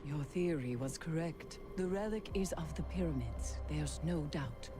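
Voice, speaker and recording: Game mystic: grave, brooding, very unhurried, ominous; loud score over the lines. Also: female voice, low voice, music in the background. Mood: grave certainty. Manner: slow, portentous delivery. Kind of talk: dramatic video game acting.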